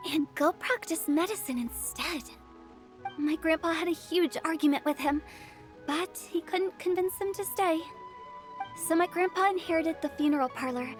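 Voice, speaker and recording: Woman as high-pitched, playful young funeral director. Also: natural voice. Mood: storytelling. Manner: playful, theatrical, teasing storyteller. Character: playful, quirky young female funeral director, sly and theatrical. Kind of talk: video game voice acting. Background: music.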